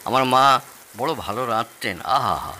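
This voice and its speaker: A man's voice: mid-range voice, lively intonation, a little slow, sharp tone. A man acting a boastful, food-loving middle-aged father-in-law with an East Bengali accent in an audio drama, theatrical and savouring. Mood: savouring, proud.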